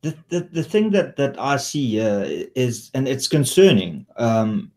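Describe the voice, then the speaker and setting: Halting South African male host. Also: medium pitch, very animated, a little mellow. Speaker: host, interviewer. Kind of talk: conversational commentary. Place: indoor room, video call. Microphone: earphone headset on video call.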